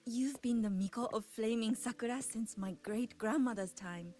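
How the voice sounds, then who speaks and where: A woman playing a gentle, devoted young ghost in a video game, speaking earnestly and a little hesitantly. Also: a little high, moderate pace, natural voice. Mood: eager, admiring. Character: wistful, devoted young female ghost admirer of a shrine maiden. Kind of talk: game voice acting.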